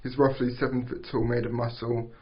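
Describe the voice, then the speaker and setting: A man narrating stage directions at an amateur script table read, halting and stumbling over words. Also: medium pitch, little pitch movement. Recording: dull lo-fi recording.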